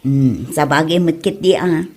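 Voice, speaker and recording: A woman's voice: sits low, measured pace, darkish tone. A woman playing a concerned, scolding village wife in an Ilocano comedy drama, with an Ilocano Filipino accent. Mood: curious, amused.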